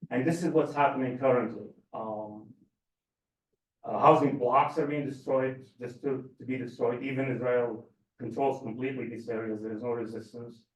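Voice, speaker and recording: A man from the Gaza Strip speaking as a panel speaker, earnest and measured, with frequent ums. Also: medium pitch. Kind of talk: panel talk. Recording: roomy, distant, dull sound.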